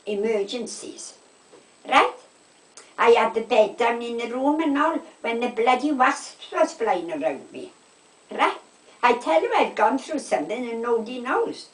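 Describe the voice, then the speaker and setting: A woman in her eighties with a South Wales Valleys accent, chatting and telling anecdotes emphatically, acting out what people said. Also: on the high side. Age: 80s. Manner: chatty, emphatic, repeats reported conversations with "I said". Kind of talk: casual chat, anecdotal storytelling. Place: indoors at a home. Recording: roomy, distant home recording.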